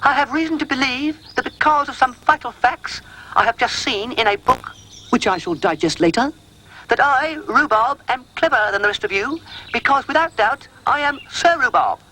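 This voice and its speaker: A man voicing a pompous, excitable male dog in a storybook reading, with blustering exclamations and grand airs. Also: very high-pitched, normal speed, slight background noise, voice pushed up, heavily acted, rough recording. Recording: music playing behind.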